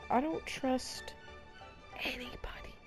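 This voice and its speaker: A woman's voice: takes it slowly, whispered, soft background music. A young woman game streamer giving casual, playful, reactive live commentary.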